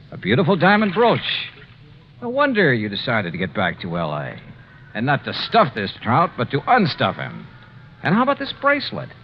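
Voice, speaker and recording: An actor playing a shrewd, wry investigator in 1950s radio drama, narrating in the first person and trading dialogue. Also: male voice, mid-range voice, gravelly. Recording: dull, noisy old radio recording.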